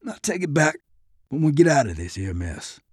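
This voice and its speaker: A man's voice: a little high, big pitch swings, normal speed, breathy edge, dry recording, studio quality. A man voicing a folksy, confident army corporal in an audiobook war story, with a rural Southern American drawl and a colloquial, instructive way of talking. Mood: proud, generous. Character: folksy, confident soldier corporal, good with guns.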